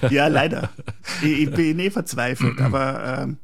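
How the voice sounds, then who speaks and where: A man from Prague, speaking as an architect in a reflective interview, deliberate and searching for words. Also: a little high, husky. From Prague, Czechoslovakia, later schooled in Austria.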